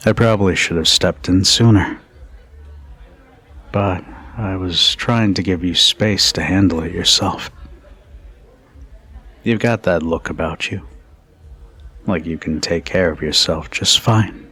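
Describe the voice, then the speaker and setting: A man playing a protective, teasing, dominant bartender in an intimate ASMR roleplay, speaking in a deliberate, commanding yet caring way. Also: bright, forceful tone, heavily acted. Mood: concerned, soft. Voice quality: low husky voice.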